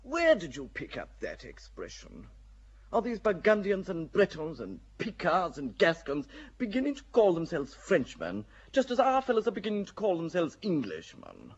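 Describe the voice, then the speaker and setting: A man's voice: sits high, huge pitch swings, breathy edge, highs cut off, heavily acted. A languid, cynical English nobleman. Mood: wry. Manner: languid, wry and unhurried. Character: cool, cynical English nobleman, middle-aged. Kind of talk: classic radio drama acting.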